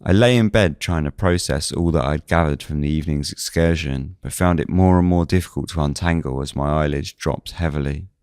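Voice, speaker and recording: A man's voice: a bass voice, brisk, hardly any pauses, a little mellow, clear, resonant tone, no echo, clean studio sound. A man reading scripted first-person suspense narration as the narrator of a fiction podcast.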